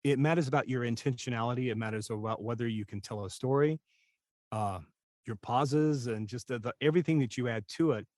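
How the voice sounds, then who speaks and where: A man's voice: mid-range voice, brisk, darkish tone, no room sound, clean studio sound. A man talking casually as a guest voice-over coach, candid and self-deprecating as he shares anecdotes. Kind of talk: casual conversational interview talk.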